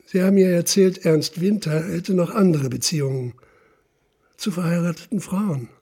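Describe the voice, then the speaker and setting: Gravelly, relentless police investigator. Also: male voice, sits high, warm tone. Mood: insistent, accusing. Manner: insistent, deliberate, accusing. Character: stern, relentless police investigator interrogating a suspect. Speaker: narrating police official. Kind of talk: German radio drama, interrogation.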